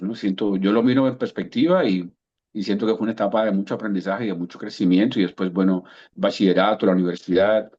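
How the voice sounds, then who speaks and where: A man in his fifties from Cuba, his accent softened by years in Colombia, speaking as a guest coach over a video call. He tells his story thoughtfully and often repeats words while he finds them. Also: on the low side, slightly rough, highs cut off. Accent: Cuban Spanish softened by years in Colombia. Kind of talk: relaxed storytelling interview answer.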